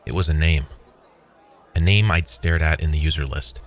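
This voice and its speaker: Very low male voice, weary wry programmer played by a man, scripted first-person storytelling, music underneath. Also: moderate pace, some chatter behind. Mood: wry, reflective. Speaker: narrator. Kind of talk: first-person scripted audio drama narration.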